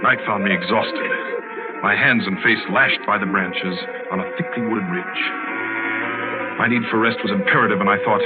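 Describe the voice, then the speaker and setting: A man's voice: lowish voice, moderate pace, close to an everyday voice. An actor playing a desperate, defiant hunted man with intense, dramatic delivery in 1940s radio drama style. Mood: tense, determined. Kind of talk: 1940s radio acting and first-person dramatic narration. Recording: muffled vintage radio recording, loud music.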